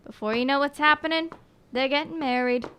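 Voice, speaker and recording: A woman's voice: high-pitched, a little fast, bright, forceful tone, a little hiss or hum. A woman playing a nosy, chatty, boastful village postman in a radio drama, with a folksy, cheeky manner.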